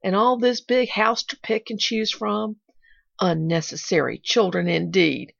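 Narrator lady doing a sassy blunt maid, gravelly husky voice, dull lo-fi audio. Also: medium pitch, a little slow, no echo. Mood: indignant. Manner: plain country grammar, indignant. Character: feisty young maid, resentful and blunt. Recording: dull lo-fi recording.

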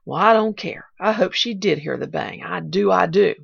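A woman's voice: lowish voice, animated, unhurried, gravelly, slightly rough, no room sound, heavily acted. Woman reading as a feisty, blunt housemaid. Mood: scornful. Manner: plain country grammar, indignant. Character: feisty young maid, resentful and blunt. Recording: dull lo-fi recording.

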